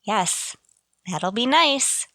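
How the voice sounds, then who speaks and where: A woman acts a wry, self-deprecating female teacher in a radio sitcom. Her voice is bright and crisp-edged, swinging widely in pitch as she delivers dry wisecracks. The sound is dry, free of room echo. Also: lively intonation, very slow, exaggerated voice. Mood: dry, resigned. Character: wry, unlucky, sardonic female high school teacher. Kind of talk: scripted radio sitcom acting with first-person narration.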